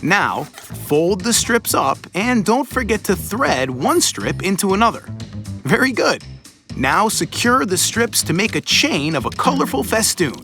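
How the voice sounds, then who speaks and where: Guy narrating a kids cartoon, high voice, super expressive storybook delivery, music behind him. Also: unhurried, vocal fry. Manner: animated, storybook-like. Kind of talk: scripted children's storytelling narration.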